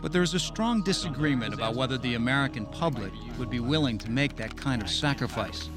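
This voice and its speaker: A man's voice: medium pitch, lively intonation, a little fast. Male documentary narrator over music. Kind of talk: scripted narration. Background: background music.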